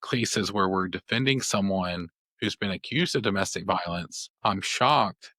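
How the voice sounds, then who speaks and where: A man's voice: low voice, a little slow, pushed and bright, no room sound, clean studio sound. A male attorney hosting a podcast interview, talking thoughtfully with many restarts. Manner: thoughtful, rambling with many restarts and "you know". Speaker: podcast host, family law attorney. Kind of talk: conversational interview.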